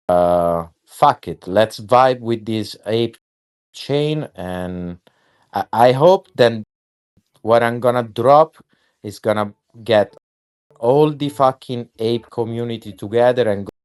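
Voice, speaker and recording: A man's voice: medium pitch, slow pace, a little mellow, clean tone. A man chatting casually as a guest on an online voice space, rambling and swearing, with lots of fillers and restarts. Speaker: guest artist.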